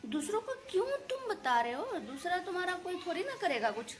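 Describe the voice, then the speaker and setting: A woman's voice: extremely high pitch, very animated, a little fast. A woman reaction vlogger speaking casually to her viewers, indignant and scolding, venting in repetitive colloquial phrases. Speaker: reaction video creator. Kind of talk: casual opinionated rant to viewers.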